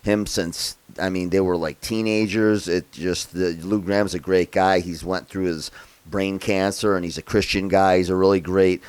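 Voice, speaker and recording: A man from upstate New York giving a relaxed, chatty piano lesson, thinking out loud as he plays by ear. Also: low voice, moderate pace, clear, resonant tone. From Rochester area, New York, USA. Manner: relaxed and chatty, thinks aloud while playing. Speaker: piano tutor. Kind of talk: casual play-by-ear piano tutorial. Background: loud piano playing.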